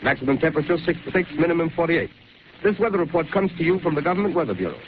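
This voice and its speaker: An actor playing a radio announcer, reading in a quick, matter-of-fact way. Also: male voice, on the high side, animated, natural voice. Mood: announcing. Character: crisp male radio announcer in a broadcast drama. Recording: tinny noisy 1930s radio broadcast.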